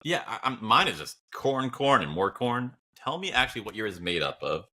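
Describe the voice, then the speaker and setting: A man's voice: medium pitch, sing-song, moderate pace, bright, forceful tone. A man co-hosting a podcast, chatting casually and philosophically in a blunt, rambling, opinionated way. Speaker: podcast co-host.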